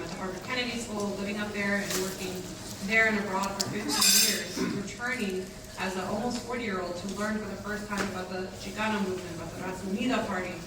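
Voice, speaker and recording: A woman in her forties from Texas gives a casual, upbeat talk to a live audience, with many ums and quick asides. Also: medium pitch. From San Antonio, Texas. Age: 40s. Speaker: keynote speaker, nonprofit executive director. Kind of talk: casual public talk to a gathering. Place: event venue with a live audience. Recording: echoey, distant mic, loud background noise.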